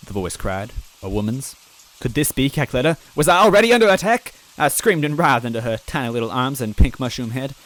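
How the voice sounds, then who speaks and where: A man's voice: medium pitch, lively intonation, a little fast, fairly bright tone, rough recording. A man reading a fan story aloud in first person, earnest and timid in tone. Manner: earnest and timid, self-deprecating. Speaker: story reader.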